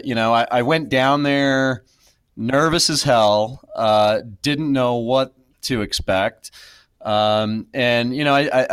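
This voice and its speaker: A man's voice: mid-range voice, smooth, dry recording, clean studio sound. A man in his thirties, a podcast guest, speaking reflectively with many fillers and restarts. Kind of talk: casual interview.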